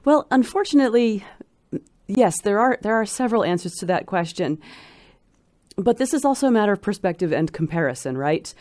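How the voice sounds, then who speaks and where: A woman scholar giving considered commentary as an interview guest, speaking in an articulate, measured and emphatic way. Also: medium pitch, lively intonation, a little mellow, dry recording, clean studio sound.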